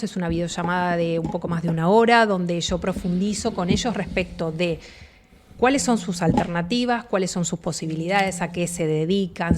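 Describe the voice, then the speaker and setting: A woman's voice: fairly low pitch, background noise. A woman from Argentina with an Argentine accent, a relocation agent giving a fluent, explanatory radio interview. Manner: fluent, explanatory, animated asides.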